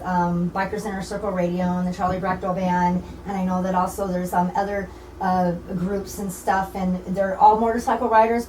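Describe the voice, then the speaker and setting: A woman's voice: medium pitch, darkish tone. An American woman speaking informally as an interview guest, earnest and rambling, often repeating her phrases. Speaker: community organizer and interview guest. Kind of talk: informal radio interview talk. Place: echoey indoor broadcasting room. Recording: roomy, distant mic with faint noise.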